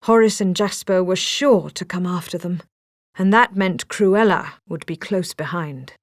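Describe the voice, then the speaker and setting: A woman narrating a children's read-along storybook in an expressive, dramatic storytelling manner. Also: lowish voice, measured pace, dry recording, clean studio sound.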